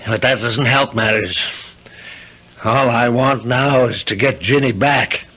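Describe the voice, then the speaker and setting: An actor playing a weary, self-reproachful businessman father in a radio drama, halting and deliberate. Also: mid-range voice, sharp tone, slightly rough, exaggerated voice. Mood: weary, troubled. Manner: halting, deliberate, repeats himself. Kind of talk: 1970s radio drama acting.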